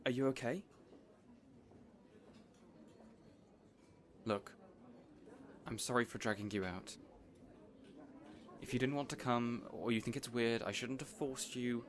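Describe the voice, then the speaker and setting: A man's voice: medium pitch, normal speed, long pauses, natural voice. Man as eager supervillain boss, boyish, caring, earnest, hesitant rambling delivery, audio roleplay. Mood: concerned, gentle.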